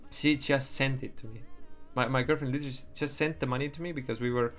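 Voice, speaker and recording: A man's voice: medium pitch, steady tone, fast, fairly bright tone, faint music behind. Man with an Estonian accent on a livestream. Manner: rambling, swears, frequent restarts. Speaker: livestream trader. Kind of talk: casual livestream chat.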